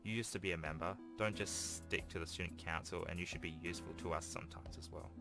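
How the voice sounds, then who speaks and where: Guy doing a condescending teen rival from a game, sneery, deep voice, music behind. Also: fairly even intonation, quick pace. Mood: dismissive. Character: smug, condescending teenage schoolboy rival.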